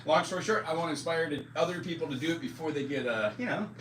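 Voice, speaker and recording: A man's voice: a little high, quick pace, fairly bright tone, a little hiss or hum. A man in his forties chatting casually on a live stream as a music teacher. He talks loosely and pokes fun at himself, with plenty of fillers. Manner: loose and self-deprecating, with many fillers. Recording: roomy echo, distant mic, music underneath.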